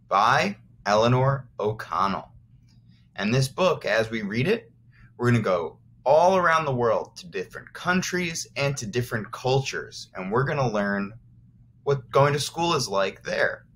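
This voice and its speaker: A man's voice: mid-range voice, lively intonation, unhurried, fairly bright tone, a little hiss or hum. A man teaching first graders, reading aloud expressively and encouragingly, with simple explanatory asides for young children.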